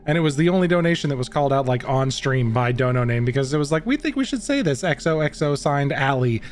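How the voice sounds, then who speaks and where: A man's voice: medium pitch, lively intonation, fast talker. Rambling game master guy. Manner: rambling, laughing. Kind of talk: casual livestream chat.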